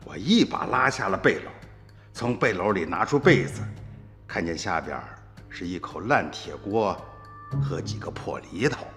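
A man's voice: deep voice, normal speed, in a large hall, soft background music, heavily acted. An actor playing a watchful father who recounts his memories as dramatic radio drama narration. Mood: reflective. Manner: storytelling, expressive.

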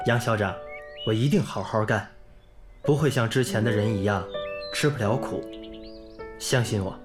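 A man's voice: fairly low pitch, normal speed, lightly acted. A young man playing an earnest, polite volunteer teacher from the city in a Chinese radio drama. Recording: music bed behind the voice.

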